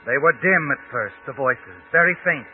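An actor playing a mysterious, knowing night-walking narrator of dark tales in an ominous, dramatic 1940s radio style. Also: male voice, medium pitch, a little hiss or hum, natural voice. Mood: tense, dramatic. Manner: ominous, dramatic, deliberate delivery. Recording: old narrowband radio recording with music.

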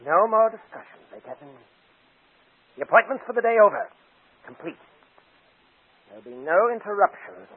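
Husky, scheming cold man, old radio. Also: high voice, sing-song, normal speed, pauses often, dry recording, exaggerated voice. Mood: coldly menacing. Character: smooth-scheming, cold, vengeful man plotting murder. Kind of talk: 1940s radio drama acting. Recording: tinny old radio broadcast recording.

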